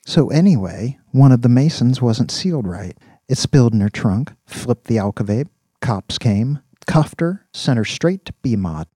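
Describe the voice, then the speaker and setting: Man playing a naive college kid, eager and slangy, animated pitch, soft husky edge, audiobook character. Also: a little slow, no echo. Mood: eager, chatty. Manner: casual, chatty slang with many likes. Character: eager, naive young male college student, secretly scheming.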